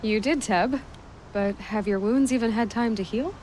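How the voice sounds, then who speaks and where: A woman's voice: fairly high pitch, normal speed, a little mellow, lightly acted. Actress as resolute young warrior woman, noisy surroundings. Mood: calm, resolute. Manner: direct, resolute, unadorned. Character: determined, plain-spoken young woman warrior. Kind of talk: video game cutscene acting.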